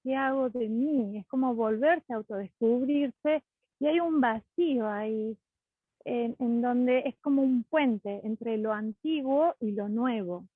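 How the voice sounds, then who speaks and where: A woman with a husky voice, hesitantly describing a case over a tinny online call, repeating words as she goes. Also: on the high side, slow pace, dry recording. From Ecuador. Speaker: participant, psychologist asking a question. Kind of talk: spontaneous question describing a case. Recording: tinny phone-like line.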